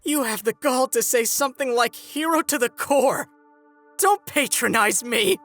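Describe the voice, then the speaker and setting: A woman plays a teenage boy turned villain in a comic dub, bitter and scornful. The voice is high and bright, with an edge of sarcasm and intense, biting delivery. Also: expressive, a little slow, soft background music. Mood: bitter, sarcastic. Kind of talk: fan comic dub voice acting.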